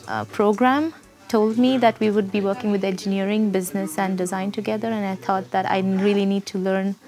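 A woman in her twenties from India with an Indian accent, speaking unscripted as a design student in an interview. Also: medium pitch. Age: 20s. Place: busy classroom studio, indoors. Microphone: lapel mic. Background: soft background music.

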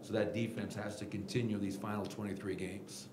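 A middle-aged man, a basketball head coach, answering reporters' questions at a post-game press conference podium with a table mic, candid and animated. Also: on the low side, fairly quick, roomy sound, background noise. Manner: candid, animated, gestures while explaining. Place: press room at team podium. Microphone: table mic in front of him.

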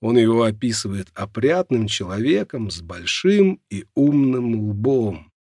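A man narrates a non-fiction audiobook in a mid-pitched voice with a gravelly, husky grain. His intonation moves expressively through measured storytelling phrases. The sound is close and dry, as on a studio microphone. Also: big pitch swings, a little mellow, no echo.

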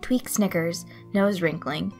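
A woman reading fan fiction aloud in an expressive storytelling style. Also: on the low side, slow. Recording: soft music behind the voice. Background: guitar loop, night insect sounds.

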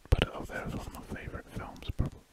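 A British man whispering breathily in a rambling, hesitant ASMR style, with trailing pauses. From United Kingdom. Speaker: ASMR artist narrating while drawing. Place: indoors at a desk, drawing. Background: pen scratching on paper.

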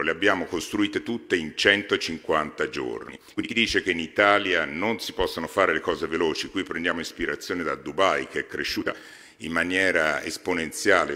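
A man in his fifties addresses a large hall from a podium microphone. His voice sits very low yet carries a bright, forceful edge, and it rings with a long reverb. He pauses and hesitates often as he speaks. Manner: halting, frequent hesitations. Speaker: foundation president. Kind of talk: formal speech at a podium. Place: large exhibition hall stage.

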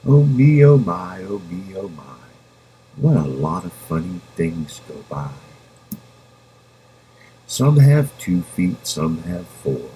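A man reading a children's rhyming book aloud in a playful, sing-song manner with drawn-out words. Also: sits low, very animated, warm tone, rough recording. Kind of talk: expressive storybook read-aloud in rhyme. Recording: home recording with background noise.

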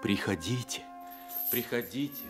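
A man's voice: mid-range voice, lively intonation, unhurried, lightly acted. A man playing a humble, kindly convict soldier, subdued and respectful, in a radio play. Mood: sad, gentle. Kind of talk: Soviet radio play acting. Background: music.

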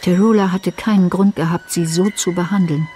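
A woman narrating a radio play from a script in a measured, matter-of-fact way. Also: fairly low pitch, steady tone, warm tone, slight background noise.

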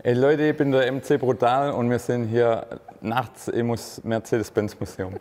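Laid-back Swabian man, mellow voice. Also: mid-range voice, fairly even intonation, moderate pace, long reverb tail. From Swabia, Germany. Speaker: rapper, interview guest. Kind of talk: casual interview chat. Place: large indoor car museum hall. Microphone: lapel mic.

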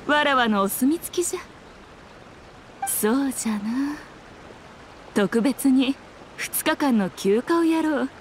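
A woman's voice: sits high, lively intonation, slow pace, long pauses, slight background noise. A woman voicing a sly, self-assured fox shrine maiden in a Japanese anime game, speaking in a lordly, archaic, teasing manner. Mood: commanding, reassuring.

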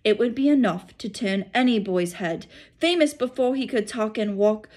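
Grave old wizard, voiced by a woman, storybook character, calm, firm, measured delivery. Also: mid-range voice, lightly acted. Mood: firm, serious. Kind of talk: storybook read-aloud.

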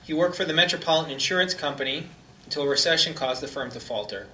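A bright-toned middle-aged man speaks with many pauses and fillers, introducing a guest at a small community forum. His voice rings through an echoey hall and is picked up from far off, with a faint hiss underneath. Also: a little high. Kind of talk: informal talk introduction.

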